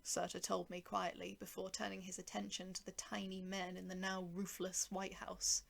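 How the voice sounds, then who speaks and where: A woman's voice: lowish voice, completely flat delivery, normal speed, creaky. A woman narrating a first-person short story and voicing its dialogue, with a wry, sardonic manner. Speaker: writer and narrator.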